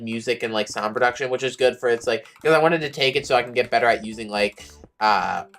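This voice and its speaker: A young streamer with a slightly raspy voice, chatting casually and ranting animatedly, with playful insults. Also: medium pitch, a bit bright. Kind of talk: casual rambling chat while streaming.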